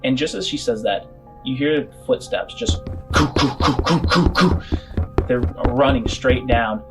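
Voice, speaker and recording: A man's voice: medium pitch, measured pace, over loud music, rough recording. A man narrating a tabletop roleplaying game as game master, improvising and making spoken sound effects.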